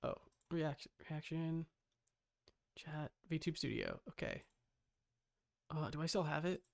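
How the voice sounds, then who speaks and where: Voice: mid-range voice, takes it slowly, creaky. A livestreamer chatting casually and muttering to themselves in a scattered, self-interrupting way.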